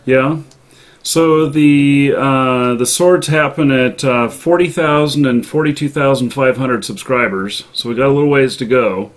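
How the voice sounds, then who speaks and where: Man, live show host, mid-range voice, unscripted chat, halting, courteous. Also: mid-range voice. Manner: halting, hesitant, polite.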